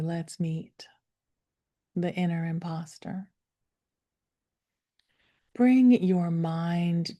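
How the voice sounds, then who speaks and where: A woman leading a guided meditation, speaking gently and without hurry, with soft, breathy phrase endings that fall toward a whisper. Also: on the low side. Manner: gentle, unhurried, with long pauses. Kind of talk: guided meditation, slow soothing instruction.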